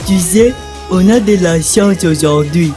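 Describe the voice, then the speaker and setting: A man plays a naive young street urchin in a cartoon. The voice is high for a male. Loud music plays behind it. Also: unhurried. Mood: curious, naive. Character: naive abandoned street boy, petty thief. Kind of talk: French-language cartoon dialogue.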